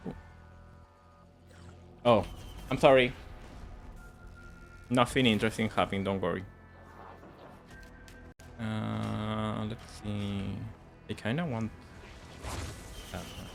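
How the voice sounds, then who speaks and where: A man's voice: on the low side, fairly even intonation, slow pace, gravelly, long pauses, faint music behind. A man with a Spanish accent whose first language is Spanish, chatting casually while streaming a game, laughing often and repeating words as he stumbles. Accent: Spanish-speaking. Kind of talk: casual gaming livestream chat.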